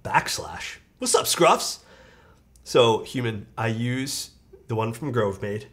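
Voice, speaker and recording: A man's voice: mid-range voice, animated, takes it slowly, a bit bright. A young man with a stuffed-up, nasal voice, chatting casually on a live stream while he builds a keyboard and reads out chat. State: stuffed-up nose.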